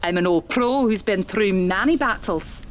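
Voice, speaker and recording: A woman playing a seasoned, genteel spiritualist medium in a radio play, speaking in a measured, dramatic way. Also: mid-range voice, lightly acted. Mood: spirited.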